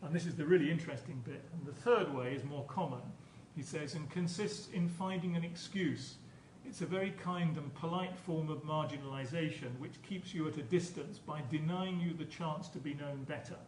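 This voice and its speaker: A man's voice: a little high, normal speed, hardly any pauses. A man giving an academic conference lecture as a professor, explaining hesitantly and often repeating his words. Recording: echoey room, distant mic, background noise.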